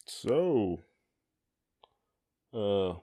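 A man's voice: fairly low pitch, sing-song, extremely slow, mellow. A middle-aged man, chatting casually as a podcast co-host in a folksy, encouraging way.

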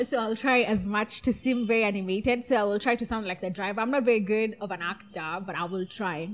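A woman co-hosting a casual podcast chat, talking in a giggly, animated way. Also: mid-range voice, fairly quick. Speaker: podcast co-host.

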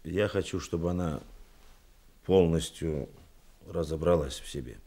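An actor playing a plain, restrained male police detective in a radio play, laconic and hesitant, with deliberate phrasing. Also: low-pitched, warm tone. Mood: awkward, evasive. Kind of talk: Russian radio play acting.

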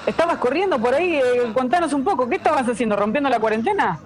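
A woman's voice: sits high, lively intonation, fast. A woman playing a jittery, fatalistic radio sidekick with a Buenos Aires accent, blunt and slangy in a radio drama. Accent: Buenos Aires Argentine.

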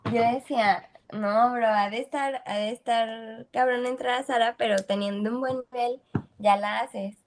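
A woman's voice: a little high, fairly even intonation, normal speed. A young woman from Mexico with a Mexican accent, chatting casually as a podcast guest in a slangy, easygoing way and laughing often. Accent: Mexican Spanish. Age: young adult. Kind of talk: casual chat.

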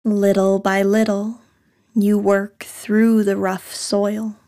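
A woman leading a guided meditation in a soft, breathy, soothing voice, speaking short phrases with long pauses. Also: mid-range voice, flat delivery. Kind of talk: guided meditation, scripted narration.

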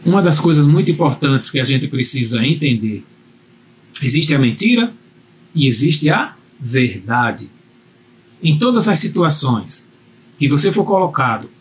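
A man's voice: on the high side, slight background noise. A man teaching a communication video lesson, speaking earnestly and directly to the viewer, often repeating himself for emphasis. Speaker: communication coach.

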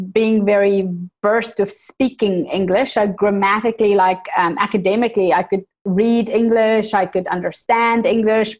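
A woman with a South African accent softened by New Zealand, a native Afrikaans speaker, chatting candidly as a podcast guest and laughing at her own jokes. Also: mid-range voice. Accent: South African with New Zealand influence. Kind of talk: casual conversational storytelling.

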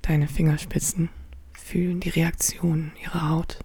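A woman in a radio play whispering slow, soft, intimate instructions. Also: sits low, level tone. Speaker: performer in an audio play.

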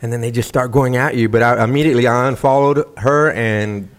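A man's voice: medium pitch, steady tone, fairly quick. A man co-hosting a casual podcast, laid-back and laughing often as he tells stories. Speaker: podcast co-host. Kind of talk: casual chat.

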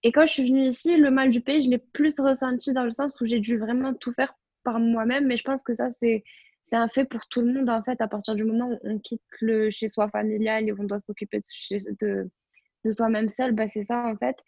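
Young woman from morocco, student chatting on a podcast, highish voice, slightly muffled recording. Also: little pitch movement, fairly quick, a little mellow, dry recording. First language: French. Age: young adult. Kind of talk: casual personal chat.

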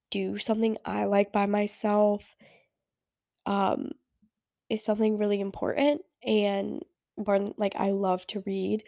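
A woman's voice: medium pitch, gravelly, dark tone, no room sound, clean studio sound. A teenage girl hosting a casual solo podcast, rambling with many fillers, her voice stuffy from a cold. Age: teens. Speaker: podcast host.

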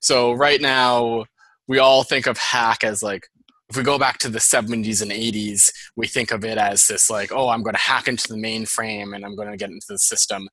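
Male podcast guest, Boston accent, crisp forward tone, chatty explainer. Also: on the low side, sharp tone, dry recording, poor audio. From Boston, USA. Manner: chatty, fast-flowing explanations with many "like"s. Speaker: guest, data scientist. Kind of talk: casual interview chat.

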